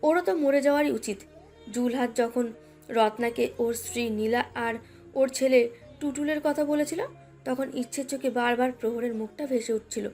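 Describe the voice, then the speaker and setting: A woman's voice: on the high side, normal speed, darkish tone. A woman reading a scripted romantic story as its narrator. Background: background music.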